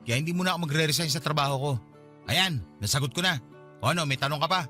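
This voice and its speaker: A man's voice: fairly high pitch, fast talker, pushed and bright, soft background music. A man playing a defensive, resentful husband in a Tagalog radio drama, dismissive and argumentative. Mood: defensive, annoyed.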